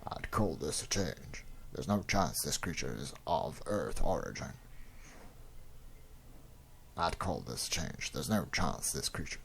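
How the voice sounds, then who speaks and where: A stern, watchful robot warrior, played by a man with a very low, gravelly voice. It comes from a science-fiction comic story, with noise behind it. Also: animated, slow pace, fairly bright tone, heavily acted. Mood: grave, wary. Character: stoic alien robot warrior, wary and stern. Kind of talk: comic-book dramatic reading. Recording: noticeable background noise.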